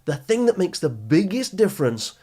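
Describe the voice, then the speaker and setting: A man's voice: high-pitched, sing-song, normal speed, warm tone, breathy edge. A British man hosting a motivational advice podcast, speaking directly to listeners in an emphatic, explanatory manner with rhetorical questions and repetition. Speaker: podcast host and study skills coach.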